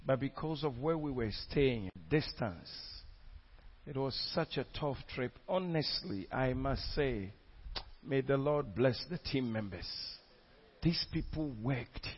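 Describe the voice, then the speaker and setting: A man's voice: mid-range voice, slightly rough. A man preaching a sermon, telling of a crusade with fervent, exclamatory delivery and frequent repetitions. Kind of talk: sermon testimony. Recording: dull lo-fi recording.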